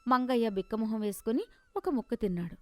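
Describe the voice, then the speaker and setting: A woman's voice: fairly high pitch, animated, a little fast, a little mellow, dry recording. A woman narrating a scripted moral story as a storyteller.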